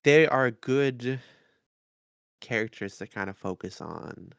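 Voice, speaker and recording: A man co-hosting a podcast, discussing things in a measured, thoughtful and somewhat hesitant way. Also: medium pitch, lively intonation, gravelly, dry recording, studio quality. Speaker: podcast co-host. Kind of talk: casual analytical discussion.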